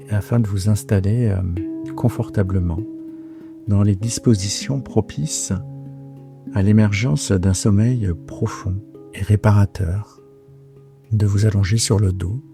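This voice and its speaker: A man guiding a yoga nidra meditation for sleep in a soft, breathy, soothing and hushed voice, with unhurried phrasing and long pauses. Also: fairly low pitch. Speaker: yoga nidra guide and podcast host. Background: soft plucked guitar music.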